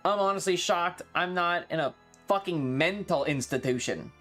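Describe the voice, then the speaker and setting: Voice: mid-range voice, normal speed, fairly bright tone, soft background music. A streamer chatting casually and live, rambling and opinionated, swearing freely and complaining with playful exaggeration. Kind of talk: casual livestream chat.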